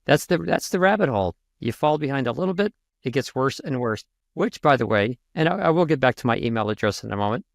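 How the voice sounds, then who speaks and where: A man speaks as a guest coach in an interview, animated and quick to joke, and his voice jumps upward when he stresses a point. His pitch sits in an ordinary middle range, and the sound is dry, with no room echo. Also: gravelly. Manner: animated and joking, big pitch jumps for emphasis. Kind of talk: conversational interview.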